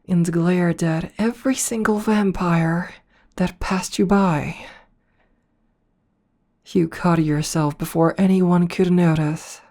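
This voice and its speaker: A woman voicing a playful, predatory noble vampire princess in an ASMR roleplay, speaking softly up close and slipping into whispers. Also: low-pitched. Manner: soft, slow and toying, slipping into whispers.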